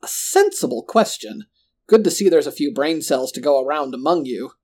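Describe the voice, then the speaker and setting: A man's voice: fairly high pitch, animated, moderate pace, a little mellow. A man voicing a menacing, gloating, monstrous middle manager in a horror story, with a folksy, pompous, pontificating manner. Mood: smug. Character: menacing, gloating, grotesque monstrous male mall assistant manager.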